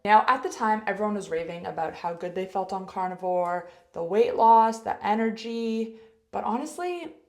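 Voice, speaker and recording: A woman's voice: medium pitch, moderate pace, darkish tone, roomy sound. A young adult woman with a Canadian accent, chatting upbeat in a get-ready-with-me vlog as a health coach, laughing between points.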